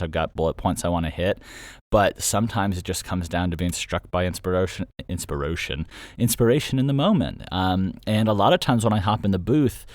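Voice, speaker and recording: A man's voice: deep voice, no room sound, clean studio sound. A man hosting a casual, unscripted solo update about his creative work, thinking aloud in a loose, rambling way. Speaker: audio drama creator and host.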